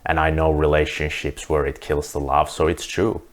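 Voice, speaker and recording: A man with a very low voice and a Finnish accent, speaking English as his second language. He talks to camera in an earnest, reflective way, restarting sentences as he works through his thoughts. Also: little pitch movement, normal speed. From Finland. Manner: earnest, thinking aloud with restarts. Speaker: vlogger. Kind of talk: casual monologue to camera.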